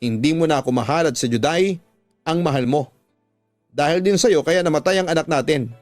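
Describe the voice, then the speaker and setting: A man's voice: fairly high pitch, brisk, no room sound, soft background music, close to an everyday voice. A man acting a bitter, heartbroken jilted lover. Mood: bitter, emphatic. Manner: emphatic, reproachful. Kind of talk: Filipino radio drama acting.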